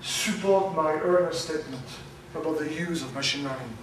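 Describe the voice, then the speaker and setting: A man giving a conference talk, loud and halting, with many fillers. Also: on the high side, a little hiss or hum. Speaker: conference speaker. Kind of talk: academic presentation. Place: lecture room. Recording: very echoey, from far off.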